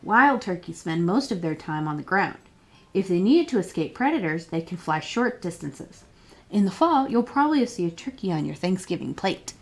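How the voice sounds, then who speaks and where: A woman's voice: lowish voice, sing-song, moderate pace. A woman reading a children's picture book aloud as a storytime librarian, expressively and with questions put to young listeners.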